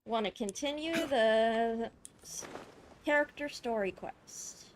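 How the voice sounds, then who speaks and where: A woman gaming streamer giving casual, informal reaction commentary, thinking out loud as she plays. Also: fairly high pitch, takes it slowly, a little hiss or hum, low-quality recording. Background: video game music.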